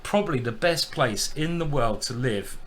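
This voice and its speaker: A man commentating on his own online chess game in a relaxed, jokey live-stream manner. His voice is bright and forward at a middle pitch. A faint background noise sits under him. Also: moderate pace. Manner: chatty, jokey, greets viewers while thinking aloud.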